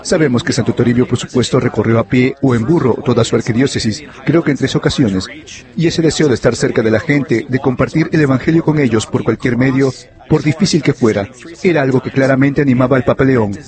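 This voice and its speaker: A man giving a voice-over interpretation of an interview, relaying it in a steady, fluent way. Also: mid-range voice, a little fast, a crowd in the background.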